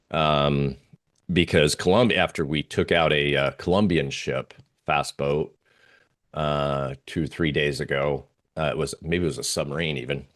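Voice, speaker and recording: A man giving unscripted analysis as an interview guest, talking through slides in a halting, rambling way with pauses and repeated phrases. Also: extremely low pitch. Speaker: interview guest, geopolitical oil trading analyst.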